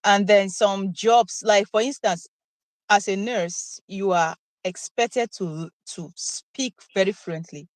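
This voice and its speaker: A woman's voice: mid-range voice, slow pace, no echo. A young woman, a recent nursing graduate, chatting casually and explaining things with examples and lots of fillers. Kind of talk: casual conversational Q&A.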